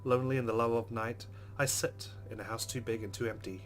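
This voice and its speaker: A man's voice: medium pitch, steady tone, a little fast, a bit bright, a little hiss or hum. A man playing a visual novel, reading its narration and adding wry, amused asides. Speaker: let's play gamer. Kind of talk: reading game text and reacting.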